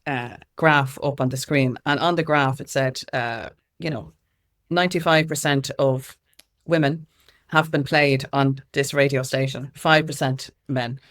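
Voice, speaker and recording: A woman's voice: rumbling low, fairly even intonation, unhurried, fairly bright tone. A woman with an Irish accent giving earnest, outspoken answers in a conversational interview. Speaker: interview guest, activist and music consultant.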